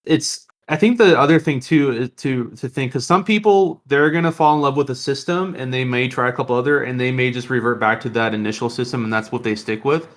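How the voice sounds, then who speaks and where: A man with a medium-pitched voice co-hosts a casual podcast. He thinks out loud earnestly, feeling his way through each sentence in a halting, reflective manner. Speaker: podcast co-host.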